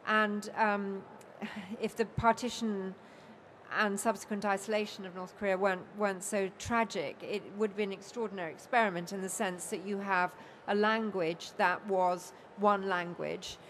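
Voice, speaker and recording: An older woman chairing a panel discussion, speaking in a measured way with frequent ums at a table microphone. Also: medium pitch, steady tone, slight background noise. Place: bookfair panel stage with an audience, indoors.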